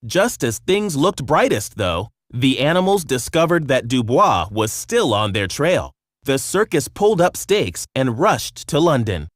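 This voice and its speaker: Male narrator, children's read-along story, lively intonation, mid pitch, dry studio-like sound. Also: unhurried, dry recording.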